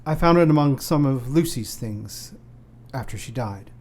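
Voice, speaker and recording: A man acting a gentle, sincere, bereaved young Victorian gentleman in a radio play, speaking in polite, formal period phrasing. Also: mid-range voice, animated, moderate pace, close to an everyday voice. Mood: tender, hesitant.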